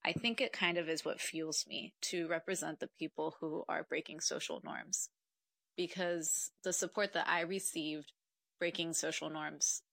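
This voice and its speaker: A woman's voice: low voice, steady tone, normal speed, creaky, fairly bright tone, no echo. A woman in her twenties from Mexico, a podcast guest talking earnestly and reflectively, with frequent ums. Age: 20s. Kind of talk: casual interview conversation.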